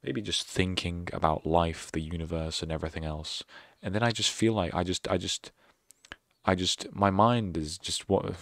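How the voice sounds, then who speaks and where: Young guy streamer, really deep voice, rambly sarcastic jokes, dead dry mic. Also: steady tone, moderate pace, gravelly, fairly bright tone, no echo. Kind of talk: casual end-of-stream chat.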